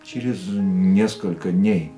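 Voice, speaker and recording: A man's voice: sits low, slow pace, mellow. An actor playing a reserved, philosophical man in a radio drama, speaking in a restrained, reflective way. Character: worldly male lover, reserved and philosophical. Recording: roomy radio play with music.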